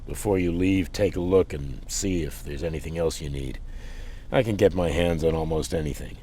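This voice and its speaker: Casting: businesslike male supply sergeant, rock-bottom bass, gruff and streetwise, video game, background ambience. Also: moderate pace, dark tone, slight background noise.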